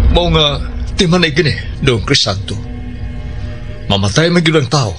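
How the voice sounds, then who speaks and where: An actor playing a devout working father with a Bisaya Filipino accent, gently giving advice in a radio drama. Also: fairly high pitch, slight background noise. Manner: gently admonishing, earnest.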